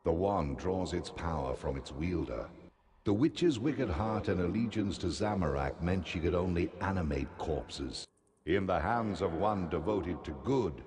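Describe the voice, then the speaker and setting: An actor playing a grave, remorseful noble spiritual leader in a fantasy video game, speaking in a formal, measured manner. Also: male voice, deep voice, a little mellow, slight background noise. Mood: solemn. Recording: echoey hall reverb, clear background ambience.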